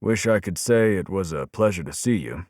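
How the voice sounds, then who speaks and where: A man voicing a tubby old medical examiner in an audiobook, grimly matter-of-fact and measured as he explains. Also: deep voice, animated, darkish tone, no room sound, clean studio sound, voice pushed up.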